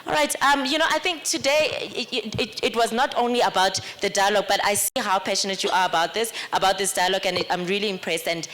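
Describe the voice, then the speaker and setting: A woman's voice: mid-range voice, quick pace, hardly any pauses, fairly bright tone. A woman moderating a panel discussion, thoughtful and hesitant with many fillers. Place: festival panel event.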